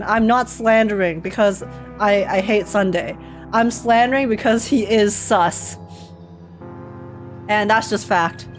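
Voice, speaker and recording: Young lady streamer, slangy and animated, chatty reacting to a game, faint music behind. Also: on the high side. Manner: giggly, animated, slangy, repeats herself for emphasis. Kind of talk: casual excited live commentary.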